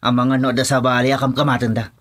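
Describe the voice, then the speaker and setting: A man's voice: medium pitch, level tone, quick pace, a bit bright, lightly acted. An actor playing a defiant henchman who bickers with a fellow gangster in an Ilocano radio drama.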